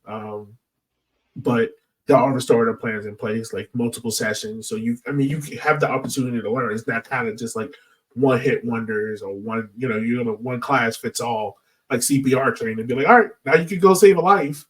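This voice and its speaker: A man with a mid-pitched voice hosts a casual podcast discussion. He talks conversationally with plenty of "like" and "you know". He sounds slightly off the microphone. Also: brisk, fairly bright tone, distant mic, rough recording. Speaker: podcast host.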